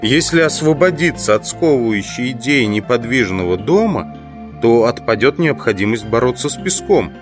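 A man playing a pensive entomologist who thinks aloud in an audiobook drama, with deliberate, reflective phrasing. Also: mid-range voice, lively intonation, close to an everyday voice. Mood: musing. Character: pensive entomologist absorbed in abstract speculation. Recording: music behind the voice.

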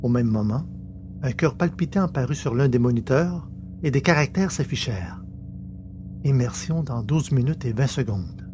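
A man's voice: medium pitch, animated, normal speed. Male story narrator, noisy humming recording. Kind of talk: scripted literary narration of science fiction. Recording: clearly noisy background with hum.

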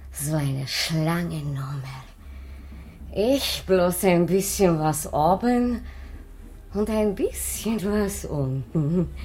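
A woman's voice: on the low side, slight background noise. Gossipy circus woman, swooping intonation. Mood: wistful, gossipy. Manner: gossipy, broken German. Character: gossipy middle-aged former circus snake dancer, theatrical and nosy. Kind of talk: radio play acting.

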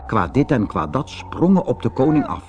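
A man narrating a children's fairy-tale audiobook in an expressive, dramatic way. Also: medium pitch, moderate pace, music in the background. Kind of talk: scripted children's audiobook storytelling.

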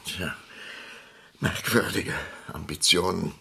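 A man playing a philandering, condescending husband in a radio crime drama, gravelly and husky, given to exasperated outbursts. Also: low-pitched, slow, sharp tone, full character voice. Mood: cool, condescending.

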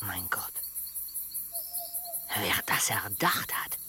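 An actor playing a wry male travel companion who recounts an expedition in a radio drama with measured storytelling delivery. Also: sits low, animated, sharp tone, a little hiss or hum, full character voice. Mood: quiet, awed. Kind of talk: radio drama narration and dialogue.

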